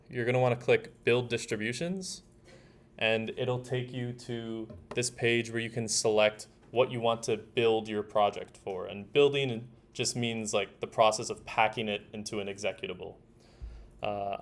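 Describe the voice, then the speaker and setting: A young man leading a workshop, explaining software steps to a group in an informal, laid-back way. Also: lowish voice. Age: young adult. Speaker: workshop leader. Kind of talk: casual software tutorial to a group. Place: indoor room with a group at a workshop.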